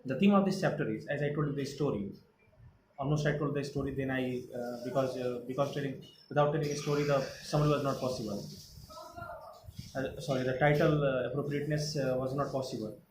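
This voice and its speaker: Earnest Indian teacher in an echoey room. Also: male voice, mid-range voice, a little fast. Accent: Indian English. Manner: earnest, repetitive, with frequent hesitations. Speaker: English teacher. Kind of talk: explaining a textbook chapter. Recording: echoey room with background noise.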